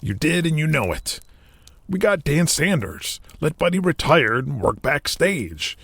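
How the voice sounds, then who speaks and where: A man's voice: a little high, very animated, measured pace, gravelly, pitched above the performer's own voice, heavily acted. A man playing an earnest grown son pressing his father in a wrestling audio drama. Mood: insistent.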